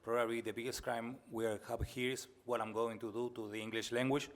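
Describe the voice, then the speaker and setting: A man's voice: mid-range voice, little pitch movement, brisk. A man from Argentina with an Argentine Spanish accent, speaking English as a second language, introduces himself on a panel with apologetic jokes. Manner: apologetic, joking. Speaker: panelist, novelist.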